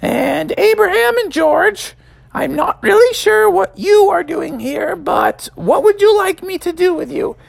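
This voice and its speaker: Man doing a shrill nagging mother frog. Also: expressive, measured pace, gravelly, heavily acted. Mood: firm. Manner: shrieking, scolding.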